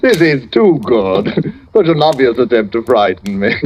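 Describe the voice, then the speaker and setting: Man acting urbane gentleman murderer, old radio drama, animated pitch, formal theatrical delivery, muffled crackly recording. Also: moderate pace, natural voice. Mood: suave, mocking. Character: suave, mocking gentleman murderer cracking into panic. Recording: noisy, dull old radio recording with clicks.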